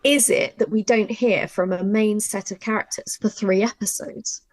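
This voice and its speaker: A woman presenting an informal writing workshop, talking through her method in an animated way and thinking aloud. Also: mid-range voice, moderate pace, no room sound, rough recording. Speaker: audio drama writer and workshop presenter.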